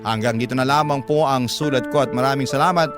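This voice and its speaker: A man's voice: mid-range voice, fast talker, music in the background. A male radio host reading out a listener's letter as dramatic scripted narration.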